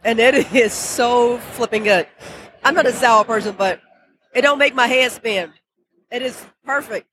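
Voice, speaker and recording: A woman's voice: a little high, a little slow, fairly bright tone, husky, rough recording. A woman chatting casually about drinks, gushing and laughing often. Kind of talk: casual tasting chat.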